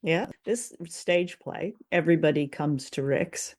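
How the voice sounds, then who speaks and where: A woman's voice: deep voice, unhurried, darkish tone. A woman co-hosting a show, talking casually about a classic film. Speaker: podcast co-host.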